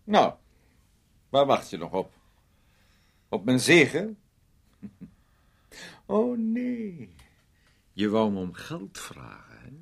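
An actor playing a mocking, needling man in a radio drama, with a gravelly, husky voice and a teasing, sarcastic way of talking. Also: a little high, huge pitch swings, slow, long pauses, exaggerated voice. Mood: taunting.

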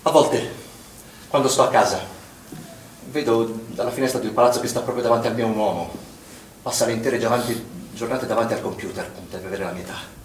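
A man's voice: mid-range voice, steady tone, brisk, close to an everyday voice. An actor playing an anxious, accusing father who is protective of his children, in an insistent stage-drama style. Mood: uneasy, confiding. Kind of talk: stage theatre acting. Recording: echoey room, distant mic, background noise.

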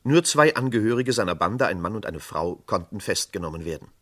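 A man narrates an old radio crime drama in a measured, matter-of-fact manner. His voice sits fairly low. The narration is scripted and even.

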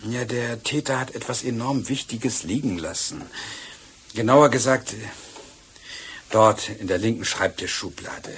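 An actor playing a shrewd, secretive police inspector in a German radio crime drama, speaking with measured authority. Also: male voice, mid-range voice, a bit bright, a little hiss or hum, close to an everyday voice. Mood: hushed, confiding.

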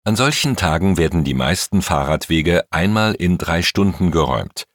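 A man's voice: low-pitched, a bit bright, no room sound, clean studio sound. A man narrating a magazine report from a script.

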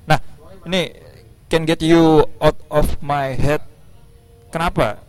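A man's voice: on the high side, steady tone, slow pace, clear, resonant tone. Informal male Indonesian radio host, Javanese accent. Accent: Javanese-influenced Indonesian, East Java. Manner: informal, colloquial, coaxing guests to speak. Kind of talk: casual radio interview chat.

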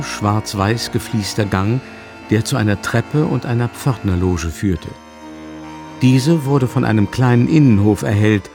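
A man's voice: on the low side, a little mellow. A man narrating a literary radio drama from a script, as a storyteller.

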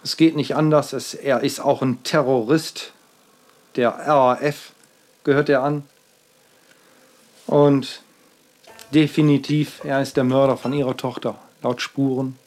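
Halting man recounting in a plain voice. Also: mid-range voice, level tone, darkish tone. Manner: halting, with frequent fillers and restarts. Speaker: artist. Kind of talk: rambling personal account in a radio feature.

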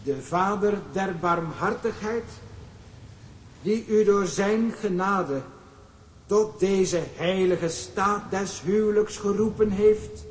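An actor playing a solemn, formal minister reciting wedding vows in ceremonial style, in a drama. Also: male voice, sits high, darkish tone. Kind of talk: ceremonial declamation. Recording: echoey hall with long reverb.

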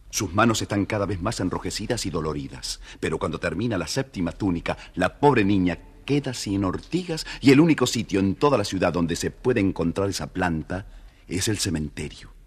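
Deep, raspy male fairy-tale narrator. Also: animated, normal speed. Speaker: storyteller, narrator.

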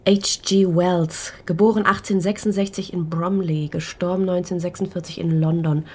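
A woman playing a dutiful, matter-of-fact assistant in a German radio play, reciting facts in a husky voice. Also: on the low side, steady tone, brisk. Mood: factual.

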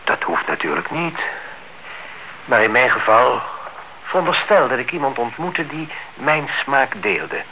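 Faintly noisy old recording, polite genteel man, very bright timbre, radio drama delivery. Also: medium pitch, very animated, muffled recording, exaggerated voice. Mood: wistful. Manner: courteous, deliberate. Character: polite, genteel married man, quietly discontented neighbour. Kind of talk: radio play acting in Dutch.

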